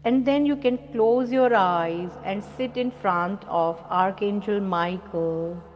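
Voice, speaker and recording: A middle-aged woman with an Indian accent guides a meditation at an unhurried, slow pace. Her soothing, instructive voice trails into a long reverb. The recording is somewhat dull and lo-fi. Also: mid-range voice. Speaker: meditation guide and spiritual healer. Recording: long reverb, dull lo-fi sound, faint noise.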